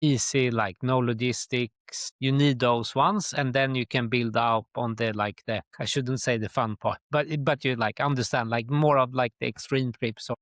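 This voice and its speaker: A middle-aged man from Sweden with a Swedish accent, answering interview questions conversationally in a halting way, often dropping in "like". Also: medium pitch, steady tone, fairly bright tone, no echo, clean studio sound. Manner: halting, with very frequent "like". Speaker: guest, tour company chief executive.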